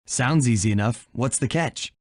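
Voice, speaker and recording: A man's voice: medium pitch, normal speed, dry recording, clean studio sound, natural voice. A man playing a cool, confident young thief, a cartoon animal hero in a video game, with a wry, casual manner. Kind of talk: video game character acting.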